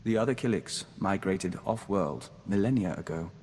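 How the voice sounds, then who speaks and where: An actor voicing a calm, formal young man joined to an insect hive mind in a video game, speaking in a measured way as "we". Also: deep voice, big-room sound, slight background noise. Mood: earnest, dreamy. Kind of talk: scripted video game voice acting.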